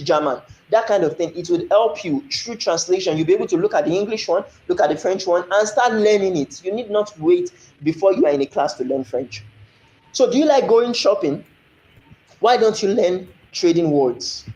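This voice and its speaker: A man's voice: high-pitched, darkish tone, reverberant, poor audio. A man from Nigeria with a Nigerian accent, teaching a recorded French lesson, explaining step by step and often checking "you understand?".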